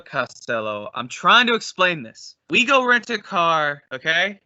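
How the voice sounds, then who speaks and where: A man's voice: high voice, very animated, unhurried, bright, forceful tone, no room sound, dull audio, heavily acted. A man playing the bossy straight man of an old-time radio comedy duo, explaining and scolding in exasperation. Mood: exasperated.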